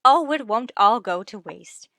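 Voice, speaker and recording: An actress playing a bossy, gushing middle-aged matchmaking wife with theatrical delivery, in old radio sitcom style. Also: female voice, a little high, lively intonation, measured pace, bright, forceful tone, dry recording, full character voice. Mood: coy. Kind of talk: 1940s-50s radio sitcom acting.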